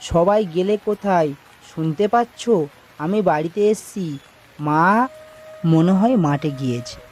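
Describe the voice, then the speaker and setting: A man's voice: fairly high pitch, unhurried, darkish tone, a little hiss or hum, lightly acted. A man voicing an earnest, eager village son back from city work in a cartoon story. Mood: searching, eager.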